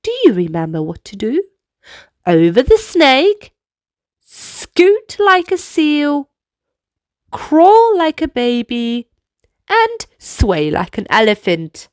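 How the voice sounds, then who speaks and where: A woman reading a children's storybook aloud in an animated, deliberate way, speaking directly to young listeners and guiding them to move along. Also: high-pitched, dry recording, studio quality. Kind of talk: expressive read-aloud narration with movement prompts for young children.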